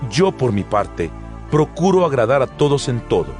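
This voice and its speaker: Guy playing an apostle in a bible audio drama, solemn and measured, lots of rise and fall, music behind. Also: mid-range voice, very animated, a little mellow, natural voice. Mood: earnest exhorting. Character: apostle writing earnest letter of exhortation to believers. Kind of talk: dramatized scripture reading. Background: background music.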